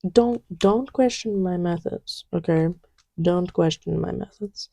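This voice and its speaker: Giggly, playful girlfriend murmuring at an unhurried pace, acted by a woman. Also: fairly low pitch, gravelly, warm tone, no room sound. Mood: murmuring, absorbed. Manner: giggly, rambling, playfully indignant, often dropping to murmurs. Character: giggly, playful girlfriend testing markers on boyfriend's lap. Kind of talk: intimate improvised-sounding ASMR roleplay.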